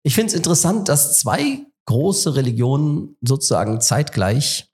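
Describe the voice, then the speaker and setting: A man hosting a relaxed kitchen-table podcast in a rectory kitchen. He has a head cold and thinks aloud, pausing often with fillers. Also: on the high side, breathy edge. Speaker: podcast host. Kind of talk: casual conversation.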